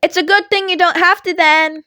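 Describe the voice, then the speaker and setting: A woman's voice: extremely high pitch, fairly even intonation, moderate pace, dry recording, clean studio sound, voice pushed up. A woman voicing a cheeky, playful teenage boy in a fan fiction reading, with an insistent, teasing manner. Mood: teasing, confident. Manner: bright, teasing, insistent, emphatic. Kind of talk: podfic character voice.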